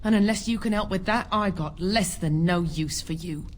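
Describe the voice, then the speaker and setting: A woman's voice: on the low side, moderate pace, natural voice. Game role: scornful, hard-up mother played by a woman, accusing and resentful, some noise behind. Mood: bitter resentment. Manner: scornful, accusing, working-class phrasing. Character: bitter, poor, scornful mother of five, commoner. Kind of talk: video game voice acting.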